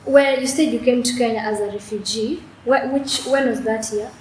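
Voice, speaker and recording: A woman's voice: fairly high pitch, fairly even intonation, normal speed. Young woman host, stumbling questions. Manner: stumbling, repeats words before questions. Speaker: talk show host. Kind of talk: interviewing. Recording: echoey room with faint noise.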